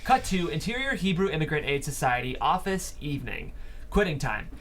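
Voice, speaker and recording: Male stage-directions reader for a table read. Slightly high voice, scripted narration, background noise. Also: animated, a little fast, fairly bright tone.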